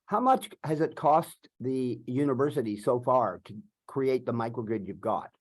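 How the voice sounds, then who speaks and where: A man in the audience asking a speaker polite, hesitant questions, with many pauses and "uh"s. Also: mid-range voice, animated. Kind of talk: question and answer.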